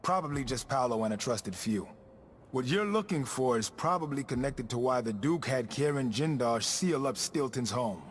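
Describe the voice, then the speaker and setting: An actor playing a scheming, officious religious overseer in a video game, speaking in a formal, controlled way. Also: male voice, mid-range voice, animated, moderate pace, slight background noise, natural voice. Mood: composed, conspiratorial.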